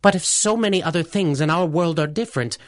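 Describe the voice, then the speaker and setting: A man's voice: a little high, quick pace, no echo, studio quality, close to an everyday voice. An actor playing a mild-mannered, curious male scientist who speaks formally and hesitantly in a 1930s-style radio adventure. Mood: measured, grave. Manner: hesitant, courteous, formal wording.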